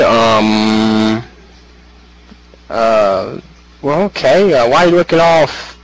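Rambling dad heading out, played by a man; hesitant, lots of fillers, mid-pitched voice. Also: rough recording. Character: easygoing dad heading out for the night. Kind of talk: amateur skit acting.

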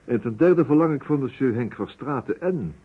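An actor playing a courteous, precise private detective in a vintage radio play, musing deliberately and dropping in French phrases. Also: male voice, medium pitch, animated, husky. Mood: courteous, firm. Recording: muffled phone-like old radio recording.

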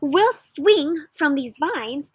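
A woman voicing an eager, confident schoolboy full of wild ideas in a storybook reading, with excited exclamations. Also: sits high, drawn-out words, dry recording, heavily acted.

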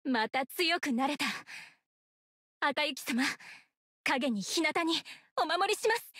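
A woman's voice: high voice, animated, takes it slowly, fairly bright tone, dry recording, clean studio sound, exaggerated voice. A woman voicing a devoted young female ninja in an anime game, earnest, terse and possessive of her master. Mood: devoted, resolute. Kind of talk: Japanese anime game character voice lines.

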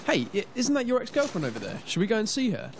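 A man's voice: high-pitched, wildly swooping pitch, fast, full character voice. A man playing a polite, friendly young man coaxing his friend along in an amateur radio play. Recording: faint background noise.

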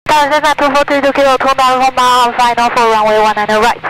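Young woman pilot, radio readbacks, very high voice, clear engine noise. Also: flat delivery, very fast, a bit bright. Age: young adult. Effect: aviation radio. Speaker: student pilot. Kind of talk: radio calls to air traffic control. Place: small airplane cockpit. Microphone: aviation headset. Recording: noisy aircraft radio and engine hum.

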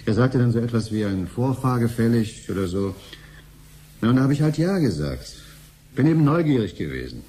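An actor playing an ordinary, curious but hesitant husband in conversational 1970s radio drama style. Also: male voice, mid-range voice, big pitch swings, brisk, mellow, slightly rough. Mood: defensive, recounting. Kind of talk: 1970s German radio play acting. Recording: noisy 1970s radio recording, hum.

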